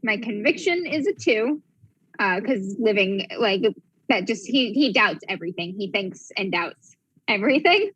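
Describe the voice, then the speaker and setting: A woman's voice: mid-range voice, very animated, a little slow, fairly bright tone, slightly rough, no echo, poor audio. A woman chatting casually and expressively as a player on a tabletop game show. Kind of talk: casual chat about a game character.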